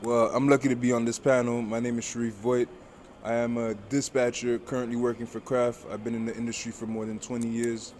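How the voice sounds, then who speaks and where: A man's voice: medium pitch, flat delivery, a little fast. A middle-aged man from Haiti speaking as a panelist in an emphatic, motivational way, like a personal testimony. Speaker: aviation dispatcher, panelist. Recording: noisy busy venue, vehicle-like ambient noise. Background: loud ambient venue noise.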